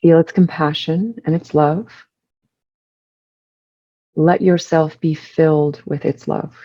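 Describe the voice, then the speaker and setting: A woman leading a guided meditation, giving soothing instructions in measured short phrases with pauses between them. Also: low voice, fairly even intonation, warm tone, highs cut off.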